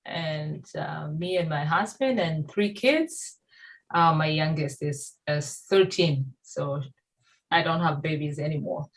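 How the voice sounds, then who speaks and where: A woman's voice: low-pitched, slow. A woman in her forties from Tanzania, a Swahili speaker, speaking earnestly as a guest on a video-call interview. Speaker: gospel singer guest.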